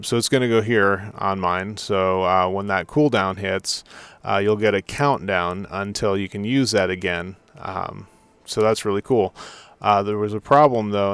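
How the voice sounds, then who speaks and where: Brief: male developer, lowish voice, a little hoarse, dry close sound, halting tech walkthrough. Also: fairly bright tone, no room sound. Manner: halting technical explanation, circling back mid-sentence. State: slightly hoarse. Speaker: game add-on developer. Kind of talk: screen-recorded software walkthrough.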